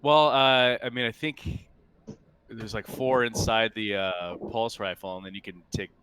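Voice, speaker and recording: A man running a tabletop roleplaying game as game master, thinking aloud in a halting, unscripted way. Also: on the low side, fairly even intonation, a bit bright, a little hiss or hum.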